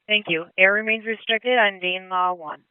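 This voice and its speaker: A male police dispatcher speaking over radio traffic in a calm, clipped way, repeating back information. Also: high voice, normal speed, clean tone, no room sound, narrow, tinny audio. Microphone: dispatch console radio.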